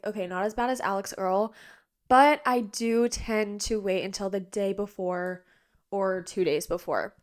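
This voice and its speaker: A young woman in her twenties hosting a podcast from her bedroom, chatting casually, a bit nasal and congested, tired but bubbly, with lots of fillers. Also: fairly high pitch. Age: 20s. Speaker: podcast host. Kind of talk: casual chat. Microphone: iPad on a tripod.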